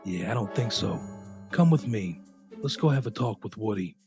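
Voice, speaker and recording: A man's voice: fairly low pitch, lively intonation, moderate pace, darkish tone, faint music behind. A man playing a stern, suspicious taskmaster mouse in an audio drama.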